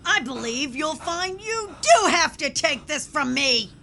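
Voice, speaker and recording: Pompous sketch interrogator , female performer: high-pitched, wildly swooping, accusatory and melodramatic, with background rumble. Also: big pitch swings, gravelly, pushed and bright. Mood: commanding. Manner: theatrical, accusatory, drawn-out. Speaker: comedy podcast sketch performer. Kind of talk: theatrical sketch acting.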